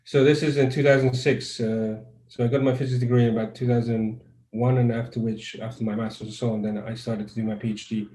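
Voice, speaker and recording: A man from Croatia talks through a video call in a level, mellow voice that hardly rises or falls. He is a guest researcher who answers thoughtfully and often restarts his phrases. The sound carries a noticeable room echo. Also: medium pitch, level tone, fairly quick. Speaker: guest neuroscientist. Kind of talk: conversational interview answers.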